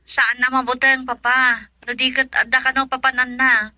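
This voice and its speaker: A woman's voice: high voice, little pitch movement, moderate pace, sharp tone, no echo. A woman with an Ilocano accent playing a worried young wife in an emotional radio drama. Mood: anxious, pleading. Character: worried young wife pleading with husband and father.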